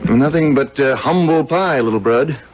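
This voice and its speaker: A man's voice: mid-range voice, normal speed, close to an everyday voice. Dull old radio, actor as wry male housemate. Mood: wry, friendly. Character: wry, friendly housemate of a young playboy. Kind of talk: 1970s radio drama acting. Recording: dull, faintly noisy radio drama recording.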